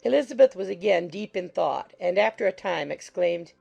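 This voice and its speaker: Very creaky, low female narrator. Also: lively intonation, normal speed, darkish tone, lo-fi sound, faint music behind. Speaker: audiobook narrator. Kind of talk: scripted literary narration.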